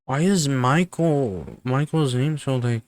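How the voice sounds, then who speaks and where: A man giving casual reaction commentary in a halting way, as if thinking aloud. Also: medium pitch, a little mellow. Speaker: host reacting to horror videos.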